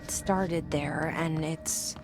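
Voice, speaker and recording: A woman playing a guarded, determined agency director in a video game, speaking in a measured, hushed, introspective voice that slips toward a whisper. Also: low-pitched, fairly even intonation, gravelly, over music, exaggerated voice. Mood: haunted, hushed. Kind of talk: video game cutscene acting, inner monologue.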